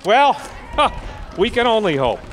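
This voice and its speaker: Fired-up guy making a speech at a rally, kinda high voice, long echo on it. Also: very animated, slight background noise. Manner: impassioned, emphatic, slows down to stress key words. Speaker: rally speaker introducing the next speaker.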